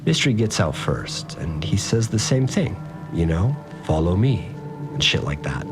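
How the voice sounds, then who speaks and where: Deep rattled survivor dude, slangy sweary audio diary, rambling and animated, music behind, podcast drama. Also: male voice, normal speed. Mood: awed, tense. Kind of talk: casual confessional audio-diary monologue.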